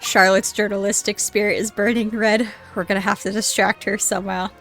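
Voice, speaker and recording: A young woman streamer giving casual, expressive and informal live commentary on a game, close to the mic. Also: mid-range voice, a little fast, faint music behind.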